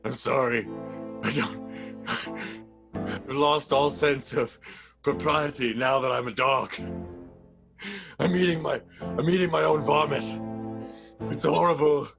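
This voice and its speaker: Radio comedy werewolf male actor: doggish, lovesick, hesitant, creaky voice, unhurried pace. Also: medium pitch, music in the background. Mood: ashamed, distressed. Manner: hesitant, halting, sighing. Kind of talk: improvised radio play.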